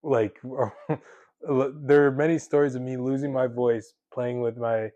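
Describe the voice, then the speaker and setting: A man's voice: mid-range voice, steady tone, unhurried, warm tone, dry recording, dull audio. Young male podcast host, conversational, dry close sound, filler-heavy delivery. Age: 20s. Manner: chatty, many fillers, laughs easily. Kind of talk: casual conversation.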